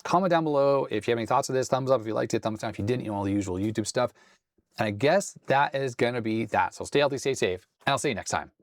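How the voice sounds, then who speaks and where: A man hosting a hands-on tech video with a slightly hoarse, raspy voice, thinking aloud in a tentative, self-deprecating way. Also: medium pitch, expressive, fast, dry recording. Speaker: host. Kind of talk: casual hands-on tech walkthrough.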